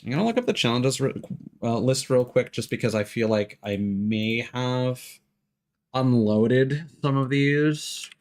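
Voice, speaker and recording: A man's voice: medium pitch, expressive, a little slow, a little mellow. A man doing casual live game commentary, rambling and thinking aloud as he plays. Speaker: game streamer.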